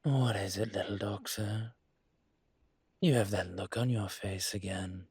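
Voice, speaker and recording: A man playing a courtly, possessive dragon mafia boss in an intimate audio roleplay, speaking in a suave, teasing, formal way with a husky voice. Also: on the low side, fairly even intonation, a little slow, darkish tone, no echo. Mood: suave, flirtatious. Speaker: voice actor.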